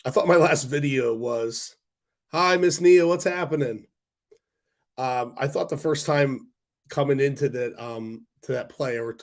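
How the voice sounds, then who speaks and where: Hoarse man chatting on a stock stream. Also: medium pitch, dark tone. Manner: rambling, many fillers and restarts. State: hoarse from a cold. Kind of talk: casual livestream chat reading viewer comments.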